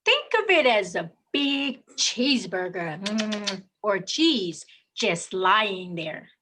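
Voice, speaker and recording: A woman's voice: mid-range voice, big pitch swings, takes it slowly, noisy background. A woman narrating a scripted story in reader's theater style.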